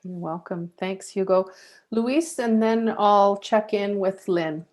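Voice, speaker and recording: A woman moderates a panel over a video call and calls on each speaker in turn. She keeps a calm, patient tone. Her pitch sits in the middle. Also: takes it slowly.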